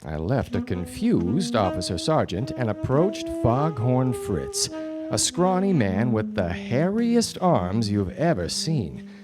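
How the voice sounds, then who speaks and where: An actor playing a self-important 1940s detective, narrating in deadpan, theatrical radio noir style. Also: male voice, medium pitch, takes it slowly. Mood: dry, confiding. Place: theatre stage before studio audience. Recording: live radio play, music behind.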